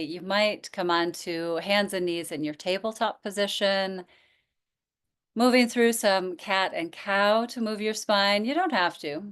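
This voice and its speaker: A woman teaching yoga nidra, guiding relaxation in a gentle, inviting manner with pauses. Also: mid-range voice, smooth. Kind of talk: guided relaxation instruction.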